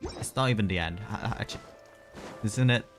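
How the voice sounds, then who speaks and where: A man's voice: fairly low pitch, fairly quick. A man giving casual, rambling live commentary as he plays a game, muttering his reactions. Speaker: game streamer. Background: game music.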